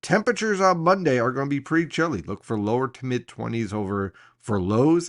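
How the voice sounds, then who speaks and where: A man presenting an informal weather briefing as a forecaster, explaining things and stressing key points. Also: medium pitch.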